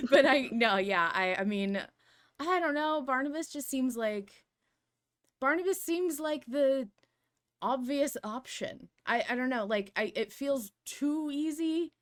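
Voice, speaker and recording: A woman hosting a fan podcast, chatting casually in an animated, opinionated way with some swearing. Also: high voice, takes it slowly. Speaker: podcast host.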